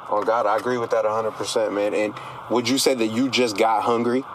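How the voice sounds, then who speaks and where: Low voiced dude hosting a podcast, slangy bro talk, hyped casual chat, lots of background noise. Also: male voice, steady tone, fairly quick. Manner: slangy, heavy on "bro" and "man". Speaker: podcast host. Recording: noisy line with rumbling background.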